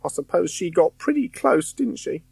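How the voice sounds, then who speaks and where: A man's voice: high voice, sing-song, moderate pace, creaky, darkish tone, slightly rough, no room sound, voice pushed up, heavily acted. A man voicing a cheerful, streetwise ghost boy, chummy and reassuring.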